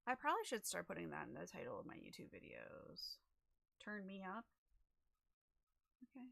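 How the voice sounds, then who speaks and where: Slightly raspy, gravelly chatty woman. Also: mid-range voice, big pitch swings, normal speed, fairly bright tone. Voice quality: a little raspy. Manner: chatty and rambling, with many fillers. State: losing her voice. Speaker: livestreamer. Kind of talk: casual chat while setting up a stream. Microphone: desk microphone.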